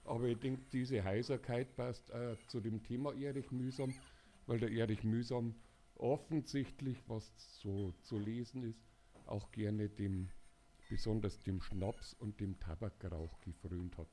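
Older singer, hoarse and dark-toned, slow halting delivery at a live event with faint background noise. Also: male voice, lowish voice, fairly even intonation. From Bavaria, Germany. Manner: halting, many filler sounds. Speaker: singer-songwriter introducing an event. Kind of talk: casual live talk to an audience.

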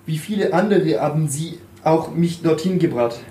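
A man with a slightly high voice reads a passage aloud in turns, sometimes tripping over words. The sound is roomy, with a faint hiss. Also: moderate pace, dark tone. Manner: occasional stumbles over words. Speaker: co-presenter reading aloud. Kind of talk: alternating reading of a text.

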